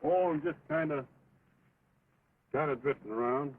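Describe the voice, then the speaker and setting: An actor playing an earnest, steadfast American cowboy in an early talkie western, speaking in a plainspoken drawl. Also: male voice, a little high, measured pace, breathy edge. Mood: wary, direct. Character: earnest, steadfast cowboy pleading with his wayward friend. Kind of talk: early 1929 talkie western film acting. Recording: muffled, scratchy 1920s film soundtrack.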